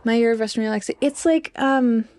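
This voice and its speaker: A woman's voice: on the high side, quick pace, a little mellow. A young woman co-hosting a podcast in casual chat, informal and peppering her speech with "like". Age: young adult. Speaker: podcast co-host.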